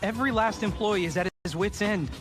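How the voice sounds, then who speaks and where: An actor playing a conscientious, exasperated young assistant in an animated comedy, reproachful and pleading. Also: male voice, sits high, fairly quick, over music, natural voice. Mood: worried protest. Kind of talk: animated comedy dub acting.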